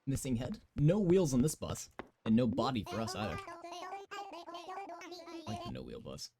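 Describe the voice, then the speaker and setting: A young man in his twenties with a raspy voice, chatting casually and giggling often as he streams a game. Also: medium pitch, huge pitch swings, normal speed, over music. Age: 20s. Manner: giggly, rambling chatter full of fillers.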